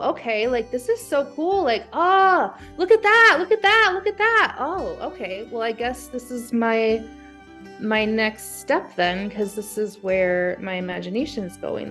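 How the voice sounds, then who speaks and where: A woman in a casual brainstorming chat, talking in an animated way and acting out her thoughts as she goes. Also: on the high side, measured pace, soft background music. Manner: animated, acts out imagined thoughts and exclamations. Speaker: guest being coached through writer's block.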